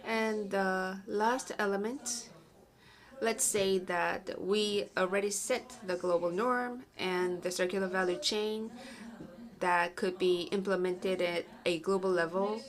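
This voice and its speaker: A woman giving a simultaneous interpretation of a conference presentation, measured and with small self-corrections. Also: medium pitch, fairly even intonation.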